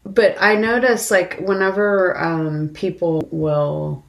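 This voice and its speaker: Low-pitched, slow-talking woman with creaky voice. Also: flat delivery. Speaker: podcast co-host discussing films. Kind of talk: casual conversational film discussion.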